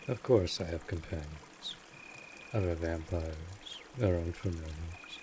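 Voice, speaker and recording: A man playing a cold, possessive vampire master in an audio drama, speaking in a formal, commanding, measured way. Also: low voice, darkish tone, slight background noise. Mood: haughty, measured. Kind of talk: scripted roleplay audio drama.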